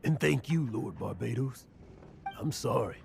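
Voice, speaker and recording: An actor playing a weary, guilt-ridden old male adventurer in a video game, with an emotional, halting manner. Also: medium pitch, animated, gravelly, darkish tone, slight background noise. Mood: overwhelmed, grateful. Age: older. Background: game music.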